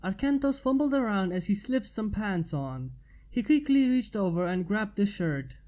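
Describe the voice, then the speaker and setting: A woman's voice: medium pitch, expressive, moderate pace, mellow. A woman narrating a scripted piece of fan fiction as its reader.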